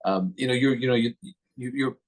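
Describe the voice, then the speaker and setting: A man hosting a conversational interview, putting questions in a halting, roundabout way. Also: medium pitch, rough recording. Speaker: interviewer, host.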